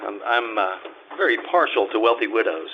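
An actor playing a wry, hard-boiled private detective in an old-time radio drama, with a casual manner. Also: male voice, lowish voice, expressive, a little fast. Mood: casual, sly. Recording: noisy, dull amateur stage radio-show recording.

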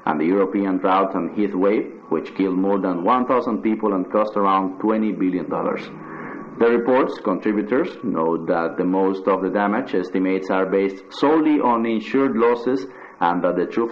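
Dull-sounding, low-voiced male radio newsreader. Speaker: radio news reader. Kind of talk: scripted news narration. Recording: dull, band-limited shortwave-style radio sound.

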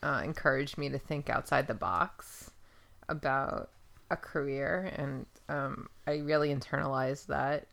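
A woman co-hosting a casual podcast, easygoing and quick to laugh. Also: a bass voice, fairly even intonation, creaky, a bit bright. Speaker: podcast co-host. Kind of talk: casual chat. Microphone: podcast studio mic.

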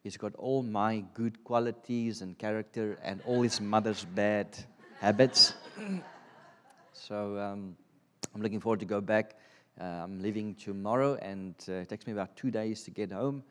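A South African man in his thirties, Afrikaans his first language, preaching to a church congregation. His voice sits a little low and he talks in a halting, conversational way, full of ums and restarts. The sound carries a long reverb from the church hall. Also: a bit bright. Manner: hesitant, lots of ums and restarts. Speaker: guest preacher. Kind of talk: informal sermon. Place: church sanctuary.

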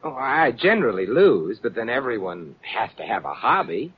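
An actor playing a suave, wry gentleman detective in 1940s radio style, urbane and dryly teasing. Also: medium pitch, big pitch swings, moderate pace, breathy edge. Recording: muffled, noisy old radio recording.

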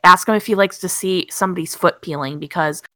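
Cheeky bright-voiced woman co-host. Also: lowish voice, brisk, no room sound. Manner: cheeky, crude joking. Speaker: podcast co-host. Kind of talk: casual podcast chat.

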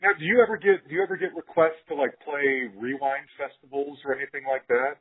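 A man with a slightly high, husky voice interviews a guest casually. He is agreeable and enthusiastic, in the informal way of a podcast host. The audio is narrow, like a phone call. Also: steady tone, fairly quick.

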